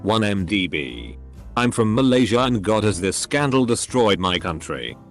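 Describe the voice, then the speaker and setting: A man with an English accent reading online posts aloud one after another in a steady, measured narration. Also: on the low side, soft background music. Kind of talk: scripted narration.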